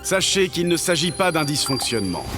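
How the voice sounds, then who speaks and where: An actor playing a solemn, godlike game master making an announcement in a dubbed anime. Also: male voice, fairly high pitch, sing-song, brisk. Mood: cold, solemn. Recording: prominent music under the voice.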